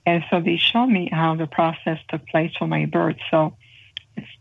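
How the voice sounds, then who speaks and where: A French Canadian woman talks earnestly as a guest, pausing often and filling gaps as she explains. Her voice sits a little low. It comes through a thin, tinny phone connection. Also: level tone, gravelly. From Canada (Montreal). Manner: earnest, measured, with frequent pauses and fillers. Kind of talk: interview guest describing spiritual experiences. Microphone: phone call.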